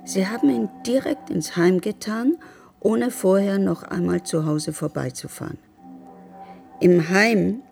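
An actress playing a sombre woman who narrates in a measured, sober, detached way in a radio drama. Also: on the low side, gravelly, warm tone. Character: sombre woman recounting grim memories of a children's home.

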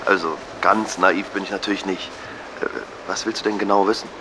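An actor playing a placating, evasive middle-aged family friend in an audio drama, speaking haltingly. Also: male voice, low-pitched, little pitch movement, pushed and bright, slight background noise, low-quality recording. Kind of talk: naturalistic audio drama dialogue.

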